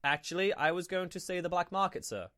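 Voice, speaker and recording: Dutiful male officer acted by a man, notably high-pitched, reporting to superiors in a sci-fi roleplay. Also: animated, brisk, sharp tone. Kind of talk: fan-made sci-fi roleplay drama.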